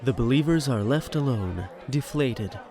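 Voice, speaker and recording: A man's voice: mid-range voice, animated, unhurried, mellow, a faint crowd. A man giving wry, dramatic scripted narration with storytelling asides to the listener.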